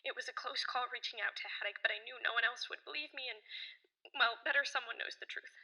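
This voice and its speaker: A woman's voice: a little high, fast talker, bright, forceful tone, low-quality recording. An actress playing a frightened woman survivor on the run in an audio drama, urgent, clipped and insistent. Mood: hurried, guarded. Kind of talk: audio drama phone-call acting.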